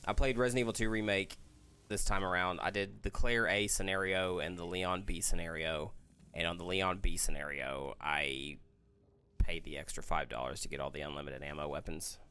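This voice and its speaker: A man's voice: sits low, fairly even intonation, moderate pace, pushed and bright, clear, resonant tone. A man co-hosting a gaming show, chatting casually about video games.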